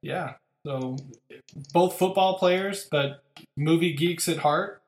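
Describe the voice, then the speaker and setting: A man's voice: on the high side, takes it slowly, roomy sound. A man hosting a podcast, chatting casually and upbeat with lots of fillers. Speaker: podcast host.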